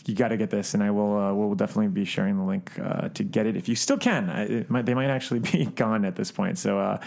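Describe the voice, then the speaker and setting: A man co-hosting a podcast in casual chat, with a halting, rambling way of talking. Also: lowish voice, vocal fry, a little mellow. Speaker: podcast co-host. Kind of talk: casual chat about books, films and collectibles.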